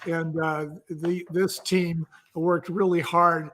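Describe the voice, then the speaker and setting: A man's voice: fairly high pitch, level tone, takes it slowly, fairly bright tone. A man speaking as an interview guest, explaining and storytelling in a conversational, enthusiastic way. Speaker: guest, entrepreneur and author.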